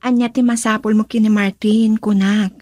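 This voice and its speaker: An actress playing an outspoken, emotional wife and daughter in a radio drama, husky and insistent. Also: female voice, mid-range voice, level tone, normal speed, warm tone, no room sound, clean studio sound, natural voice. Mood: suspicious. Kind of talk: Ilocano radio drama acting.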